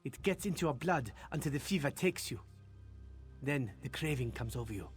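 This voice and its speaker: An actor playing a blunt, self-possessed vampire in a video game, speaking in a husky, ominous way. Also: male voice, medium pitch, lively intonation, normal speed, faint music behind, close to an everyday voice. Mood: grim warning. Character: guarded, self-possessed male vampire, curt and grimly urgent.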